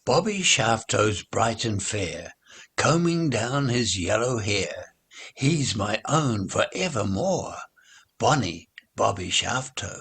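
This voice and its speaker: A man reciting children's nursery rhymes in an expressive, theatrical, playful way. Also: on the low side, vocal fry, slightly rough. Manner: slow, theatrical, playful delivery.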